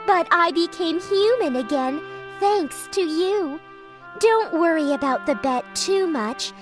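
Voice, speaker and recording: A woman playing a gentle, sweet young sea princess reassuring her husband in a storybook cartoon. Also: extremely high pitch, lively intonation, slow, music in the background, full character voice.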